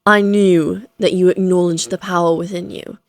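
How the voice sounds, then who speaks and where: A woman's voice: on the low side, steady tone, moderate pace, close to an everyday voice. A woman voicing an ancient, amused and self-assured female vampire who taunts the listener in formal, theatrical phrases, in a Victorian fantasy audio roleplay. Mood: amused, musing.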